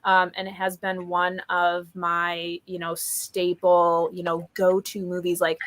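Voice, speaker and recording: Bright-voiced woman hosting a chatty live stream. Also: fairly low pitch, monotone, a little slow. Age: 30s. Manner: enthusiastic, informal, chatty. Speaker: host of a book readalong live show. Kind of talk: casual live-stream chat. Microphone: video call.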